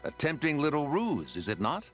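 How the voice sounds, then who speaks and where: A man's voice: on the high side, wildly swooping pitch, moderate pace, fairly bright tone, faint music behind. A man playing a lofty, mischievous immortal in a fantasy game, speaking in archaic, florid phrases. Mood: scheming. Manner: archaic, florid, lamenting diction. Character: lofty immortal male adeptus, theatrical and archly mischievous. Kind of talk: fantasy video game voice acting.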